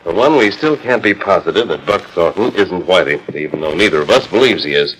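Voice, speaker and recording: A man playing a resolute, fair-minded western hero in a 1940s radio drama, with measured, authoritative, formal diction. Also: low-pitched, expressive, fairly bright tone. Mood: resolute, serious. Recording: noisy 1941 radio transcription disc.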